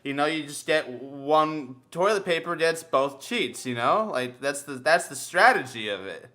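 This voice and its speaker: A young man with a high, bright, forceful voice hosts a casual comedy podcast. He swears freely and tells stories theatrically, doubling back on words as he builds to a point. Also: lively intonation, measured pace. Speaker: podcast host. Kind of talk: casual comedic storytelling chat.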